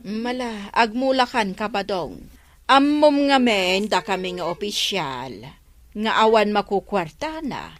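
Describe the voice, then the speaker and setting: A woman's voice: medium pitch, animated, unhurried, natural voice. A woman acting a no-nonsense village councilwoman in a comic radio drama, questioning and exasperated, with an Ilocano Filipino accent.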